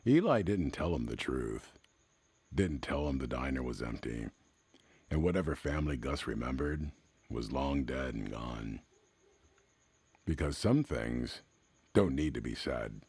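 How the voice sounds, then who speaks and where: A man with an extremely low, gravelly voice tells a story in slow, measured phrases. His delivery is somber and cinematic, rising and falling widely between dramatic pauses, recorded close and dry. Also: animated, a little mellow, dry recording. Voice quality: very deep, gravelly, husky. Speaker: storyteller, narrator. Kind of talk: slow cinematic scripted narration, classic radio drama style.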